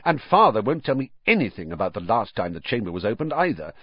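A man's voice: lowish voice, big pitch swings, quick pace, husky, no echo, highs cut off. A man reading an audiobook voices an arrogant, spiteful teenage schoolboy with a scornful drawl. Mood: petulant, gloating. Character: sneering arrogant schoolboy, early teens, spiteful. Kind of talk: audiobook character voice.

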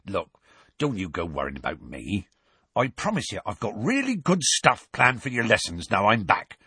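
A man's voice: fairly low pitch, wildly swooping pitch, normal speed, pushed and bright, slightly rough, dry recording, clean studio sound, exaggerated voice. A man doing a hearty folksy giant. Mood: reassuring. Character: big gruff genial male groundskeeper.

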